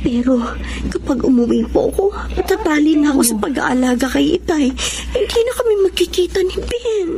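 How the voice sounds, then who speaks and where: An actress playing a respectful, reluctant, apologetic working daughter in a radio drama, with a Filipino accent. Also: female voice, sits high, animated, brisk, creaky, mellow, slight background noise. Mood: uneasy, reluctant. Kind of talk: Tagalog radio drama acting.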